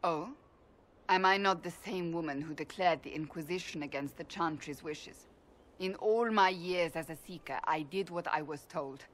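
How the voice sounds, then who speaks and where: Woman playing a low-voiced stern warrior woman. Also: natural voice. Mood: earnest, troubled. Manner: formal, measured, deliberate phrasing. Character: stern, devout, principled warrior woman, earnest and blunt. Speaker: voice actress in a fantasy game. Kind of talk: dramatic video game dialogue.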